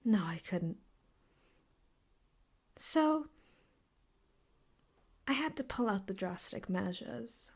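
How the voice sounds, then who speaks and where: A woman playing an obsessive, possessive, sweetly menacing ex-girlfriend in an improvised ASMR roleplay, teasing and often slipping into breathy whispers. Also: medium pitch, lively intonation, takes it slowly, long pauses, a little mellow, heavily acted. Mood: hurt, accusing.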